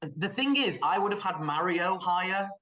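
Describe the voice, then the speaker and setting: A man in casual film discussion on a podcast, speaking in an excitable, emphatic way. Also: high voice, moderate pace. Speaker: podcast panelist. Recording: echoey room sound.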